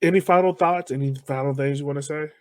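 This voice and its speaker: A man's voice: on the high side, fast talker, a little mellow, dry recording. A man with an American accent hosting a casual interview, chatting in a jokey, teasing way. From Virginia, United States. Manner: jokey, teasing, laughs readily. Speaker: podcast host, interviewer.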